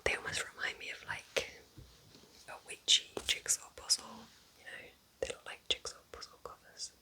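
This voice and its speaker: Woman whispering softly in chatty ASMR style. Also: moderate pace. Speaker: ASMR host reviewing books.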